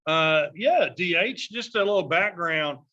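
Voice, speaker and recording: A man co-hosting a podcast, asking questions in a relaxed way with lots of fillers. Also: high voice, a little slow, highs cut off. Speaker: podcast co-host. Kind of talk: casual podcast chat.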